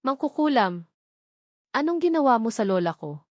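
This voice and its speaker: A woman's voice: a little high, very animated, moderate pace, a little mellow, no room sound, studio quality, pitched above the performer's own voice. A woman voicing a spoiled young heiress in a drama story, furious, snarling and full of contempt. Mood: venomous fury.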